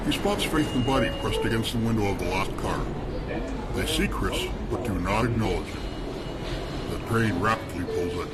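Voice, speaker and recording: A man with a lowish voice narrates a hobby radio drama steadily and evenly. A clear hiss runs under the recording. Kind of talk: scripted narration.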